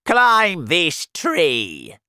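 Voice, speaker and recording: Reader putting on a greedy bossy old aunt, storybook, loud drawn-out bellowing, raised pitch. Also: wildly swooping pitch, no room sound, studio quality, heavily acted. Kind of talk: storybook reading.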